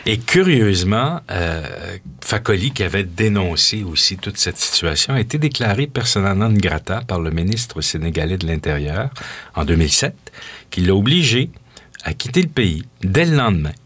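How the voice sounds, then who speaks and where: A man hosting a radio music show, explaining the background of songs in a measured, informative way with occasional hesitations. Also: sits low, sing-song, a bit bright, husky. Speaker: radio host.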